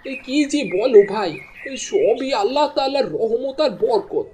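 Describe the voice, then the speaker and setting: A woman's voice: high voice, lively intonation, a little slow, warm tone, slight background noise. A woman voicing a modest, pious male village fisherman in a cartoon, talking in a self-effacing way. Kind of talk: Bengali cartoon dialogue.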